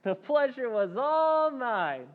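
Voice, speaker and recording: A man's voice: extremely high pitch, big pitch swings, slow, full character voice. An actor playing a laconic, cheeky delivery boy in an old-time radio comedy, with a casual, folksy manner. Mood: matter-of-fact. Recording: muffled phone-like sound, long hall reverb.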